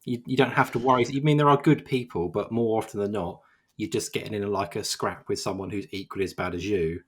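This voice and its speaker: Husky low guy rambling about games, lots of ums. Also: steady tone, fast. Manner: rambling, self-deprecating, many fillers. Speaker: games journalist co-host. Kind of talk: casual podcast chat.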